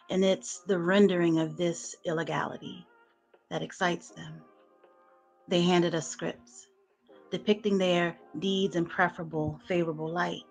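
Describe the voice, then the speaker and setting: A woman performing sensual spoken word poetry in a soft, smooth, hushed voice. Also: fairly low pitch, low-quality recording. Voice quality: soft, smooth, breathy-edged voice. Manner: hushed, slow sensual delivery with soft laughs in chat. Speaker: guest poet.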